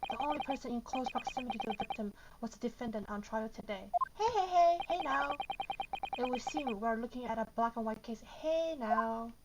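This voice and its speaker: A woman's voice: a little high, expressive, fairly quick, heavy background noise. A woman streaming a video game from her bedroom, reading the dialogue aloud and reacting informally as if talking to herself. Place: bedroom at home. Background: loud game music.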